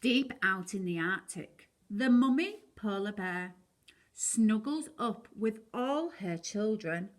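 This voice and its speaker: A woman reading a rhyming children's picture book aloud in an expressive storytelling style. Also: medium pitch, slow.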